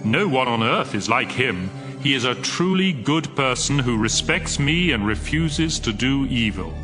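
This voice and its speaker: An actor playing a commanding, assured divine being with a measured, authoritative manner in a dramatized audio Bible. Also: male voice, mid-range voice, animated, music in the background, close to an everyday voice.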